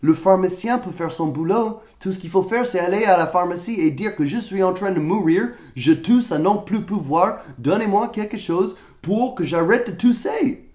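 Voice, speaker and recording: American man ranting, high voice, echoey room. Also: lively intonation, moderate pace, darkish tone. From United States. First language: English. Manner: exasperated, sarcastic complaining. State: coughing, sick. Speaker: vlogger. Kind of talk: comedic rant to camera.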